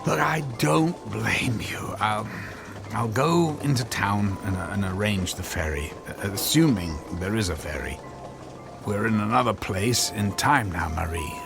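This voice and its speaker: An actor in a radio drama playing a meek, apologetic inventor husband who stammers and speaks haltingly. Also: male voice, lowish voice, gravelly, music in the background. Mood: apologetic, uneasy.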